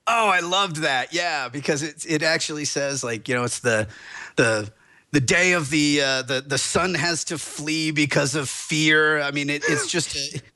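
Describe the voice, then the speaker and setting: A man who is a lawyer guest, talking in a rambling, excitable way with lots of restarts. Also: on the high side, unhurried. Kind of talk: animated podcast discussion.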